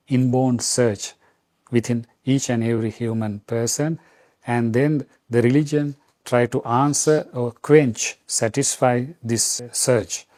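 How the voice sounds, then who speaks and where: A middle-aged man from Sri Lanka, a Vatican official answering interview questions in a halting way with many fillers and restarts. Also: medium pitch, darkish tone, no echo, studio quality.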